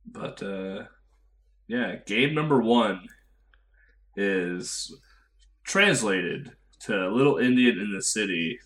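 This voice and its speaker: American male co-host, fairly low voice, loose and self-deprecating. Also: expressive, takes it slowly, long pauses, a bit bright. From United States. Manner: rambling, filler-heavy, self-deprecating. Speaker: podcast co-host. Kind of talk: casual podcast chat.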